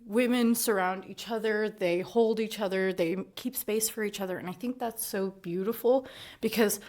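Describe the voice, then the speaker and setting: A woman's voice: medium pitch, normal speed, a little mellow. A young adult woman from Dubai, a guest on a podcast, talking in a casual, reflective way and laughing often. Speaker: podcast guest, school principal.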